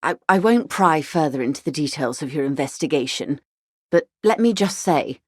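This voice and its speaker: An actress voicing a polished, wry, middle-aged upper-class corporate negotiator in a video game, speaking in a composed, formal, diplomatic way. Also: female voice, low-pitched, lively intonation, normal speed, no echo, studio quality. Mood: composed, measured. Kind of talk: video game character dialogue.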